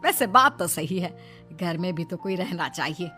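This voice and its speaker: A woman's voice: on the low side, animated, normal speed, pushed and bright, soft background music. A woman playing a fretful older mother-in-law. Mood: chatty. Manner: fretful, chatty. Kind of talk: cartoon voice acting.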